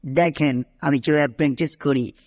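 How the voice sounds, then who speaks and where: An excitable, boastful tomcat from a dubbed cartoon, voiced by a man. He whines and exclaims like a would-be kung fu master, recorded close and dry. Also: on the high side, normal speed, dry recording, natural voice. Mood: eager. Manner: animated, whining, exclaiming, shouting kung fu cries. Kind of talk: amateur cartoon dub in Bengali.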